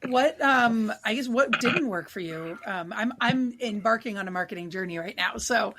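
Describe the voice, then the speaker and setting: A woman's voice: mid-range voice, normal speed, heavy background noise. Thoughtful woman co-hosting a podcast. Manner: thoughtful and searching, with hesitant fillers and restarts. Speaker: bakery owner, podcast co-host. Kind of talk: casual podcast conversation.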